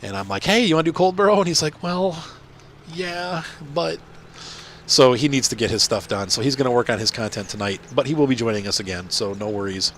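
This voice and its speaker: A man's voice: mid-range voice, lively intonation, fairly quick, fairly bright tone, slight background noise. Nasal man with a cold, chatty gamer. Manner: rambling, chatty, many fillers and restarts. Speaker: gaming channel host. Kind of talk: casual commentary while playing.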